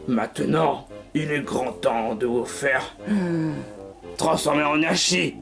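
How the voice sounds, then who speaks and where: A performer voicing a gruff, menacing, bad-tempered male pig villain in an amateur cartoon, foul-mouthed and threatening. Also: mid-range voice, slow pace, a bit bright, soft background music.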